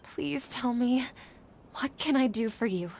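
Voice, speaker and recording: A high-voiced young female scholar in a game drama. She is anxious and weighed down by guilt, choosing formal, earnest words. Faint noise sits behind the dialogue. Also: a little slow, darkish tone. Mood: earnest, resolved. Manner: earnest, troubled, formal wording. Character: anxious, guilt-ridden young woman scholar, assistant to a sage. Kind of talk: dramatic video game voice acting.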